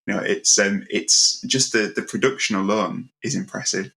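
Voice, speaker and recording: A man's voice: fairly low pitch, moderate pace, gravelly, pushed and bright, rough recording. A man hosting a conversational interview, putting his questions in an appreciative, roundabout way. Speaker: interviewer, podcast host.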